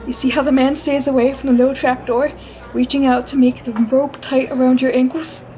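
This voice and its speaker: A woman acting a frightened condemned prisoner in a retro radio drama, pleading innocence in a halting, folksy way. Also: high-pitched, level tone, dark tone, dull audio, soft background music, lightly acted. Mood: haunted dread.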